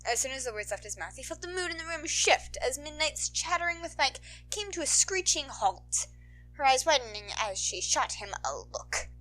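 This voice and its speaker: High, bright-voiced woman narrating fiction. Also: sing-song, moderate pace, low-quality recording. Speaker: podfic narrator. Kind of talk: expressive fan fiction audiobook narration.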